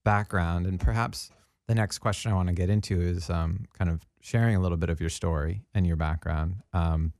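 Low-voiced, mellow man interviewing. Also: no echo, clean studio sound. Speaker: interviewer, host. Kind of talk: reflective conversational interview.

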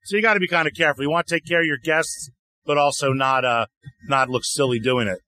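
A man, a singer-songwriter guest, answering interview questions in a relaxed, chatty way full of "you know" fillers. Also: fast, no room sound. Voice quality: deeper voice. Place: outdoor music festival.

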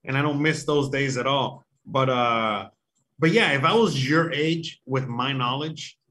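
Man, age forty, medium pitch, co-host, casual chat, jokey, self-deprecating. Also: unhurried, a bit bright, slight background noise. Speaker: livestream co-host.

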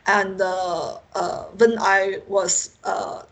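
A woman's voice: mid-range voice, level tone, vocal fry. Chinese woman on a skype interview, mandarin accent, stop-start, keeps repeating bits while hunting for the word. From China. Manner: halting, searching for words, repeats herself. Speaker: science fiction author, interview guest. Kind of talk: conversational interview answers. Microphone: Skype call. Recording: recorded over an internet call.

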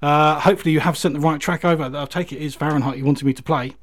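A man's voice: fairly high pitch, fairly even intonation, quick pace. A man hosting a music radio show, chatting casually and enthusiastically, with hesitant, repetitive phrasing.

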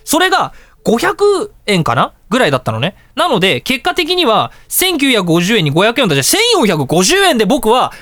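A man hosting a radio show, telling everyday anecdotes in a casual, excitable way and acting out little exchanges. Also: sits high, fairly quick, hardly any pauses, no room sound, studio quality. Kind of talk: casual storytelling radio talk.

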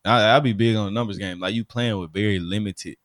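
A man's voice: lowish voice, fairly even intonation, a little fast, fairly bright tone, no room sound, studio quality. A man from Alabama with a Southern accent, chatting casually in slang and often checking that the listener follows. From Alabama, United States. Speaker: DJ with a studio, podcast speaker. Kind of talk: casual conversational discussion.

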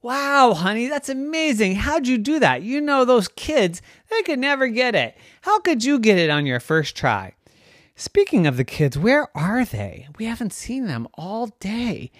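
A woman acts out an astonished parent from a children's story. The delivery is emphatic and full of questions, swinging high and low, in a dry close sound. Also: huge pitch swings, unhurried, no echo, full character voice. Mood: amazed. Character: startled, exclaiming mother and father of young boys.

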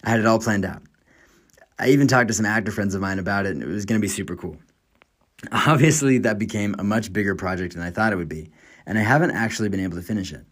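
A young man in his twenties hosts a podcast, reading a scripted monologue in an upbeat, enthusiastic way. His voice sits a little low for a man. He is from the United States. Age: 20s. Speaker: podcast host.

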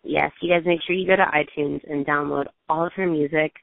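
Upbeat woman podcast host, lowish voice, phone-like sound. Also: steady tone, a little fast, gravelly, no echo. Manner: bubbly, laughs often. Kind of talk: casual interview chat. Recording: dry phone-like line.

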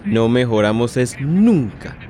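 A man's voice: medium pitch, sing-song, slow pace, dark tone, slight background noise. A man playing a weary detention guard in radio drama dialogue. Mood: casual.

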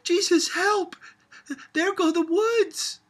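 A man voicing an excitable, defiant young girl in a storybook, with shrieking, indignant theatrical delivery. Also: extremely high pitch, slow, voice pushed up, exaggerated voice. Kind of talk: storybook character voice.

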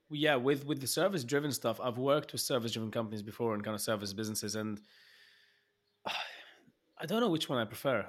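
A man's voice: medium pitch, fairly bright tone. A man from Egypt hosting a podcast interview over a video call, chatty and hesitant, with frequent restarts and fillers. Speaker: podcast host. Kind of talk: casual interview chat.

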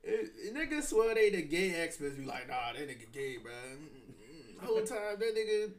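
A man hosting a podcast in casual, animated chat, swearing freely and laughing often. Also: on the high side, normal speed. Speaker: podcast host.

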